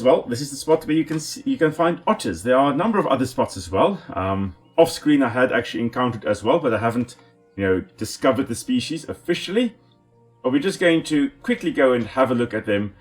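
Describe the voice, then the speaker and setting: South African man, Afrikaans first language, animated mid-pitched voice, chatty enthusiastic gameplay host. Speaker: let's play host and ecologist. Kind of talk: casual gameplay commentary.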